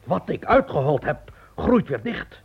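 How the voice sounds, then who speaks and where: An actor in a radio play playing a superstitious, proud sculptor with a husky voice and a flowery, dramatic way of speaking. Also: male voice, on the high side, sing-song, measured pace, full character voice. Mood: alarmed. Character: florid male sculptor, proud but superstitiously fearful. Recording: dull old radio recording.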